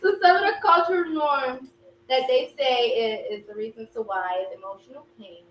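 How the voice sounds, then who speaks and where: A young woman co-hosting a casual podcast chat, expressive and quick to laugh. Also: sits high. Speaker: podcast co-host. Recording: roomy echo, far from mic, faint hum.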